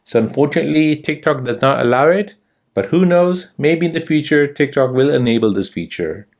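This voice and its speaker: A man's voice: a little high, lively intonation, a little fast, darkish tone. A man hosting a step-by-step tutorial, narrating in a scripted instructional manner with sing-song emphasis. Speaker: tutorial host.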